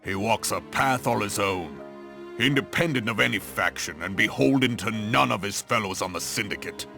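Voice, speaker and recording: An actor playing a stern, blunt military commander in a fantasy video game, speaking gravely in formal, archaic phrasing. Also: male voice, lowish voice, normal speed, over music. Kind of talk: scripted video game drama.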